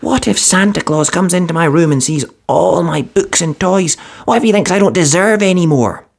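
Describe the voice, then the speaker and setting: A man voicing an anxious little penguin boy in a children's storybook, pleading and breathy, often half-whispered. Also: moderate pace. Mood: worried. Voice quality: high, breathy and worried.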